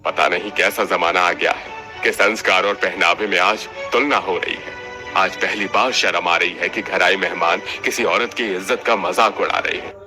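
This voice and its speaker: A man's voice: deep voice, normal speed, over music, natural voice. A man playing a dignified, protective father-in-law in a family cartoon, earnest and moralizing. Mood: indignant. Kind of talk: Hindi cartoon family drama dialogue.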